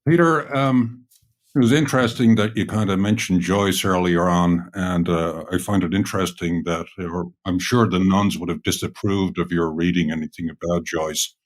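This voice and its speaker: Man, deep voice, hesitant co-host asking questions. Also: normal speed. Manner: hesitant, soft-spoken, many ums. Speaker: podcast co-host. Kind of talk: conversational interview.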